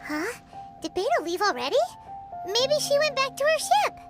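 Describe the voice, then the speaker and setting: A woman voicing a tiny floating female companion in a game, excitable and blunt, with squeaky childlike exclamations. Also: normal speed. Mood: curious. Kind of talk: video game cutscene dialogue. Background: game music.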